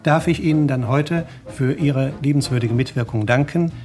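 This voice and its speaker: A man's voice: mid-range voice, normal speed. A man signing off a radio programme in a courteous, formal old-fashioned style. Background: faint music.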